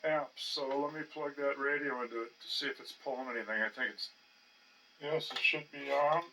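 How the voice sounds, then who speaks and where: An older man giving casual, rambling commentary as he tinkers, halting and muttering to himself. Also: mid-range voice, fairly even intonation, bright, forceful tone. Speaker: hobbyist narrating his own projects. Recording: distant mic with faint background noise.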